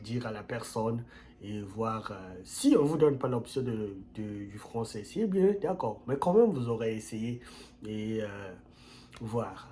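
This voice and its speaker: A man talks straight to his viewers in an earnest, casual advice vlog. His voice sits in a middle pitch and leaps higher whenever he stresses a point, and he comes back to the same phrases again and again. A faint hum of ambience sits under his voice. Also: dark tone. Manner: earnest and emphatic, repeats key phrases; pitch jumps up sharply on stressed words. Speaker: YouTube channel host.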